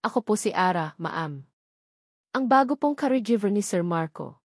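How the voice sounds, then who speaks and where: A woman's voice: mid-range voice, expressive, moderate pace, dry recording, studio quality. A woman voicing a young, humble female caregiver who speaks politely and deferentially.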